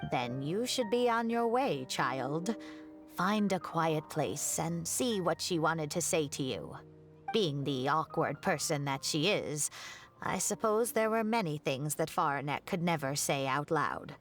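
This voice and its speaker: Low-voiced, wise older professor. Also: female voice, expressive, unhurried, faint music behind. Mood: gentle, kindly. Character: wise, kindly older female architecture professor. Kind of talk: scripted game dialogue.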